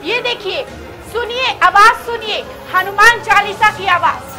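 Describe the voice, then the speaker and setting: A woman news anchor giving an excited breaking news voice-over, emphatic and repeating phrases to drive them home. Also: extremely high pitch, a little slow. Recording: music and crowd noise behind voice. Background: loud music and crowd sounds.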